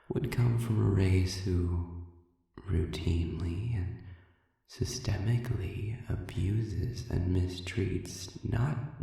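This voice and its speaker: A man playing a sadistic, manipulative demon in an audio roleplay, smug and philosophical, with a low gravelly voice and a deliberate, murmuring delivery full of pauses. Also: warm tone, heavily acted. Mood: disdainful, accusing. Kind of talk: dramatic audio roleplay monologue. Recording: heavily reverberant, distant cavernous sound.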